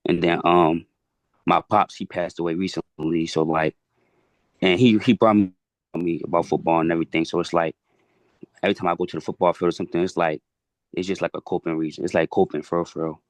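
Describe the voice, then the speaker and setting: Deep, flat-voiced young man. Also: a little fast, dry recording. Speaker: football player. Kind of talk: casual video call chat. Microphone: video call.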